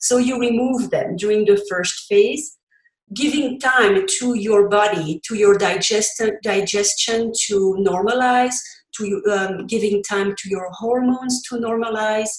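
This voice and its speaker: A French-accented woman from Belgium talks through her ideas as an interview guest, stopping and restarting with fillers. Her voice sits at a middle pitch and carries a noticeable room echo. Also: measured pace. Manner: explanatory, frequent fillers and restarts. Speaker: podcast guest, cookbook author. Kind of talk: conversational interview.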